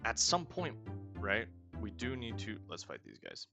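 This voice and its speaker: A man hosting a casual let's play, reading game text aloud and thinking out loud as he goes. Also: lowish voice, wildly swooping pitch, measured pace, gravelly, lo-fi sound, music in the background. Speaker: gaming host.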